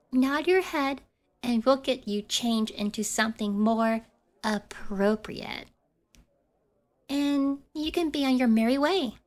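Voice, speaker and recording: A woman plays a playfully cruel delinquent schoolgirl in an ASMR roleplay, the bully's sidekick. Her voice is fairly high and very unhurried, teasing with false innocence.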